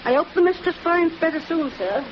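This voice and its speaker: An actress playing a humble, deferential British working-class household cook in a 1940s radio drama, with a folksy manner. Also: female voice, extremely high pitch, expressive, brisk. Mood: deferential, puzzled. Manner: folksy, respectful. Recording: muffled, noisy 1940s radio recording.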